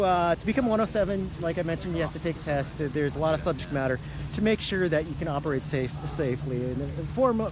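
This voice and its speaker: A man who is losing his voice explains drone rules with lots of "uh"s and pauses. His voice sits a little high. Loud traffic noise fills an outdoor park around him. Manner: explains step by step with many fillers. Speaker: drone pilot guest. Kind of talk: live outdoor interview. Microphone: phone livestream.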